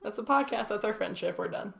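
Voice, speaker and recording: Sarcastic young woman with a creaky voice. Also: medium pitch, fast. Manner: sarcastic, joking, laughs often. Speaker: podcast co-host. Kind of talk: casual chat. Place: small room, mic on table. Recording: faint background noise.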